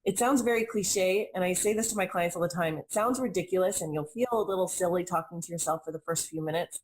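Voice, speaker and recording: A young adult woman talks earnestly in a low, even-toned voice. She is reflective and stacks repeated phrases to drive her point home. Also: fast talker, slight background noise, poor audio. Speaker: intuitive reader and healer, guest. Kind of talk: conversational interview.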